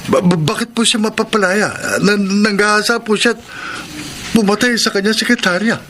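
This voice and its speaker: A stammering nervous driver. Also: male voice, high voice, normal speed, pushed and bright, a little hiss or hum. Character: stammering young male driver turned killer. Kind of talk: 1990s radio drama acting.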